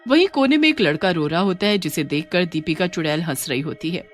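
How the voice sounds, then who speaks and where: A woman's voice: lowish voice, lively intonation, brisk, soft background music. A woman working as a story narrator, reading a scripted horror tale.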